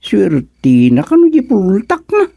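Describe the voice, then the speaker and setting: A man's voice: high-pitched, huge pitch swings, takes it slowly, vocal fry, mellow, dry recording, exaggerated voice. A man acting a bashful, nervous young suitor in an Ilocano radio comedy, stammering and exclaiming with an Ilocano accent. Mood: musing, amused. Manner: stammering, exclaiming, muttering anxiously to himself.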